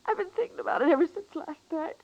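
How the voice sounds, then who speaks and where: A woman's voice: very high-pitched, expressive, fast talker, no room sound. An actress playing a scheming, volatile saloon gambling woman in a 1950s western radio drama, with a melodramatic delivery. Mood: tearful pleading. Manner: melodramatic, pleading turning to defiant. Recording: dull vintage radio recording.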